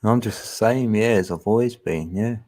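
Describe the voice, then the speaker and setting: A man's voice: lowish voice, moderate pace, warm tone. A man in his late forties chatting casually on a live stream, laid-back and easygoing with frequent chuckles. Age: 40s.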